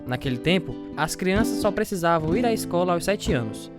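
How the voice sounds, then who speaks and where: An earnest ex-boxer narrates his own life in a radio drama. He tells it like a storyteller, with guitar music clearly heard behind him. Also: high-pitched, animated, brisk. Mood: reflective. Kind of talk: first-person dramatised narration.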